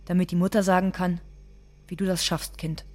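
A woman playing a stoic, bitter undocumented immigrant from Ecuador, speaking in deliberate, restrained radio drama monologue. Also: on the low side, little pitch movement, close to an everyday voice.

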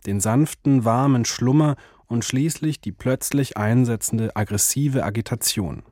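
A man narrating a radio feature in a calm, even reading style. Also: mid-range voice, unhurried, dry recording, clean studio sound. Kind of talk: scripted narration.

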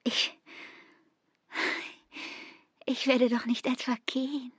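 Young barmaid in love, radio play, highish whispery voice, really slow, urgent and tender. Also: female voice, flat delivery, fairly bright tone, no room sound, studio quality, full character voice. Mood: defiant, intimate. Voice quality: breathy, often whispering. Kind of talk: intimate radio drama acting.

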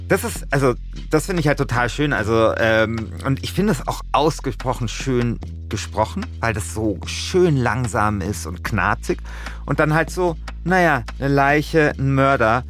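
A man's voice: mid-range voice, a bit bright. A man co-hosting in casual, playful, unscripted chat, feeling his way through his sentences. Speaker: co-host.

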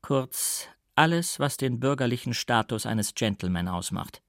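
Reserved nobleman, read by a male actor, subdued and formal, medium pitch, audiobook character. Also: expressive, a little slow, a bit bright, dry recording, studio quality. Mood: wry musing. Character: melancholy, reserved count musing and feigning another's identity.

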